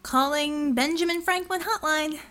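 A woman voicing a sarcastic, easily exasperated woman detective in an audiobook, with a wry and animated delivery. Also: high-pitched, moderate pace, voice pushed up. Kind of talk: audiobook character dialogue.

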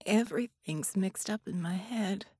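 A woman's voice: mid-range voice, lively intonation, takes it slowly, a little mellow, breathy edge, no echo, clean studio sound, pitched above the performer's own voice, full character voice. A woman voicing a plainspoken, stubborn wounded female veteran in audiobook dialogue, frail and wavering. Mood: lonely, confused. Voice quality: wavering, fragile. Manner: folksy, plainspoken.

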